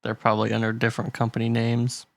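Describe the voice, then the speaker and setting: A man's voice: medium pitch, monotone, fast talker, vocal fry, no room sound. A man co-hosting a casual podcast chat, reading figures aloud and laughing often. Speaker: podcast co-host.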